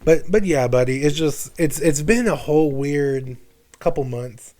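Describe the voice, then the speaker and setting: A man's voice: fairly high pitch, a little slow, a little mellow. A man in his twenties talking candidly and casually as a podcast guest, using slang and swearing freely. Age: 20s.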